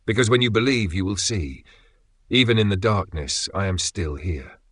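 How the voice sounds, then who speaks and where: A man reading a scripted devotional message in the voice of God, gentle and tender, often sinking to a near whisper. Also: lowish voice, animated, normal speed, no room sound.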